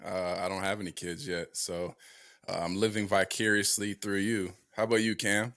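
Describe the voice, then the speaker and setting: Low-voiced man hosting a sports podcast. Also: little pitch movement, normal speed, sharp tone. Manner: hesitant, many fillers and restarts. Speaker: podcast host. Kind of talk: casual sports podcast chat.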